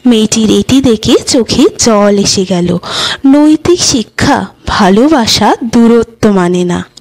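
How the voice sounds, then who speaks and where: A woman with a fairly high voice narrates short moral tales for children. Her pace is very slow and deliberate, and she delivers each line as scripted storytelling. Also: expressive, darkish tone. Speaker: storyteller and channel host.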